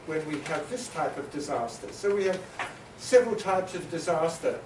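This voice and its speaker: A man from New Zealand moderating a conference panel discussion, summarizing points with many pauses and filler sounds. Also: a little high, lively intonation, a little mellow, a little hiss or hum. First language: Maori. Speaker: panel facilitator. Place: conference hall. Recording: echoey hall, mic at a distance.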